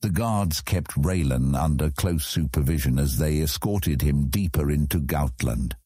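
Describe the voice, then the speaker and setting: Male narrator, very low and husky, scripted epic fantasy audiobook delivery, dry studio sound. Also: measured pace, dark tone, no echo.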